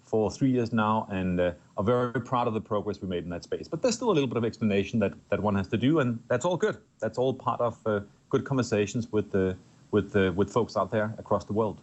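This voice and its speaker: A man's voice: lowish voice, brisk, darkish tone, dull audio. A middle-aged man with a Danish accent, a tech executive answering interview questions earnestly, often restarting his phrases. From Denmark. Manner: earnest, with frequent restarts and repeated words. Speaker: tech company executive guest.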